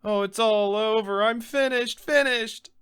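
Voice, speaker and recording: A man voicing a panicky, excitable young male defendant in a game script, whiny and melodramatic. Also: sits high, a little slow, clear, resonant tone, pitched above the performer's own voice. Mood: despairing wailing.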